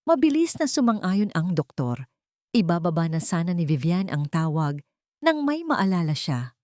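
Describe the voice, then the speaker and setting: Expressive woman narrating a drama. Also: fairly low pitch, moderate pace, no echo, clean studio sound. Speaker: storyteller. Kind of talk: scripted audio story narration.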